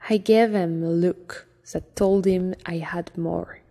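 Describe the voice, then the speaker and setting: A woman with a strong French accent reading a fantasy novel aloud as an amateur audiobook reader, slowly and carefully, sometimes stumbling. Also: lowish voice, steady tone, dark tone. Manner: slow and careful, with some stumbles. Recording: long hall reverb.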